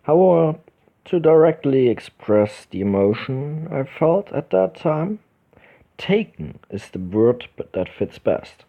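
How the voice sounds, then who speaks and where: A man reading a visual novel's narration aloud, slowly and with small stumbles and restarts. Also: mid-range voice. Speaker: let's play host. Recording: dull lo-fi recording with game music behind.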